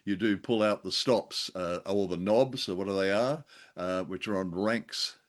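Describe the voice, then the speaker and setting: Older male co-host, low-pitched, effusive and exclamatory, for casual review chat. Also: unhurried. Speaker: co-host of an old-time radio review show.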